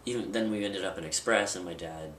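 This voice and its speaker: A man's voice: sits low, brisk. A young man talking candidly in a personal vlog, rambling a little with fillers and restarts. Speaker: vlogger. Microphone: camera mic at a distance. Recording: roomy, distant, with loud background noise.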